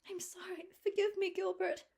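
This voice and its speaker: Woman reader voicing a young heroine, high-pitched, husky, breathy, whispery, eager, storybook reading. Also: steady tone, moderate pace, dark tone. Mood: distressed. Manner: breathy, rapturous, at times whispering. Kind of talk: audiobook character voice.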